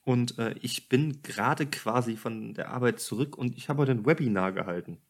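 A man's voice: medium pitch, normal speed. Male podcast host at home: chatty, jokey, voice slightly worn from a day of talking. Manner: jokey, chatty, addresses listeners. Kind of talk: casual podcast chat. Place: home apartment.

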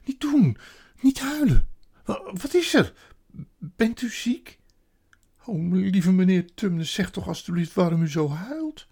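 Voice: mid-range voice, very animated, normal speed, darkish tone, slightly rough, voice pushed up. A storybook reader voicing a kind, anxious, outspoken little girl in an earnest, pleading way. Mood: alarmed, pleading.

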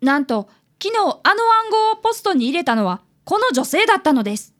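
A woman's voice: sits high, very animated, a little fast. A woman voicing an earnest fifth-grade boy apprentice detective who also narrates the radio drama in a polite, eager way. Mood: narrating.

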